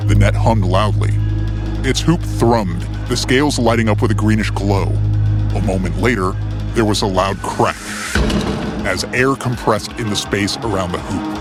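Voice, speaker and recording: A man reading a scripted adventure story in a theatrical, suspenseful way, as its narrator. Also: fairly low pitch. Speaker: storyteller, narrator of a fiction series. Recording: music bed under the voice. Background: music.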